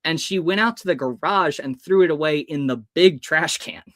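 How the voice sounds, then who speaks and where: A man's voice: a little high, normal speed, a bit bright, no room sound, studio quality. A man in his thirties from the United States, a hypnotist guest telling stories in an interview and acting out the people in them. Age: 30s. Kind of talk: storytelling in an interview.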